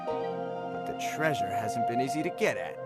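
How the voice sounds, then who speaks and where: A man's voice: mid-range voice, very animated, unhurried, over loud music. A man voicing a sneering young bandit in a video game, with a slangy, taunting swagger. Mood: cocky. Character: cocky, sneering young male bandit thug. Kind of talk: video game character acting.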